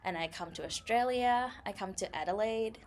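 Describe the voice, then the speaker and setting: A woman's voice: mid-range voice, normal speed. Thai-born woman, chatty podcast co-host. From Thailand. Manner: frequent fillers and self-corrections, laughs easily. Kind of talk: casual conversational storytelling.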